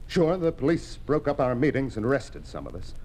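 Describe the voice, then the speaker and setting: An actor playing an earnest, defiant young farmers' leader, insistent and persuasive in 1950s radio drama style. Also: male voice, medium pitch, very animated, a little fast, a little mellow, poor audio. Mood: rousing, determined.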